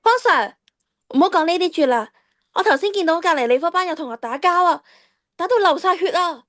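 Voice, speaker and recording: A woman's voice: very high voice, lively intonation, brisk, fairly bright tone. A woman playing a cheeky, defiant teenage schoolgirl in an audio drama, with a whiny, dramatic delivery. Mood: evasive, whiny. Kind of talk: Cantonese radio drama acting.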